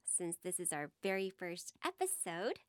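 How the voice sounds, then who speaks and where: A woman's voice: lowish voice, big pitch swings, moderate pace, no room sound, clean studio sound. A young woman from Hawaii and Texas co-hosting a podcast in casual chat, chatty with frequent laughs and fillers. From born in Hawaii, raised in Texas. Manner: chatty, laughs often, many fillers and backchannels. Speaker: podcast co-host, radio station worker.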